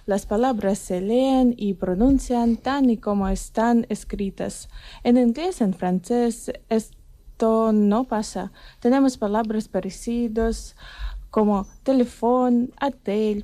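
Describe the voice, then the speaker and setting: Dark-toned woman, dry measured read. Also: medium pitch, no room sound. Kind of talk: prepared explanation.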